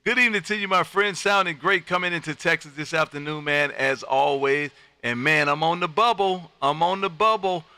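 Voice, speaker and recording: An American man in middle age with a very bright, punchy voice that sits fairly high and carries some gravel. He works the airwaves as an exuberant ham radio host, repeating his phrases for emphasis. The sound is close and dry. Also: moderate pace, hardly any pauses, dry recording. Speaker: amateur radio operator and livestream host. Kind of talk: lively on-air ham radio chat with showman patter. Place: home radio room.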